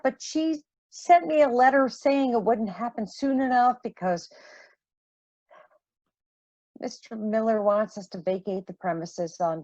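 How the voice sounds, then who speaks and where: A woman acting a troubled, apologetic mother in a modern radio play, speaking earnestly and haltingly. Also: fairly high pitch, no echo, dull audio, natural voice.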